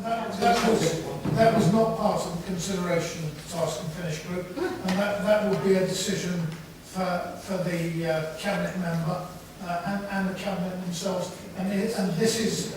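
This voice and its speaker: A man's voice: high-pitched, fairly even intonation, moderate pace. A man answering as a councillor at a committee meeting, firm but stumbling over repeated words, in a large echoey room. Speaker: councillor presenting a report. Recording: echoey hall from far off, clear noise.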